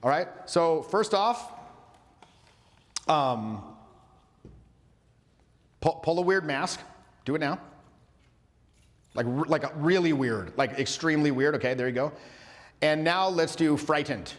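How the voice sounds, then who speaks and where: A man coaching a room on social skills, his voice sitting a little high for a man. He talks in a loose, playful way, stacking fillers and quick restarts. His words ring out with a long reverb, like a big hall. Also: lively intonation. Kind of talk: energetic live workshop teaching.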